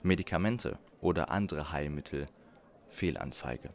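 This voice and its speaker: A man reading a scripted documentary narration in a measured, deliberate voice-over. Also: extremely low pitch, expressive, vocal fry.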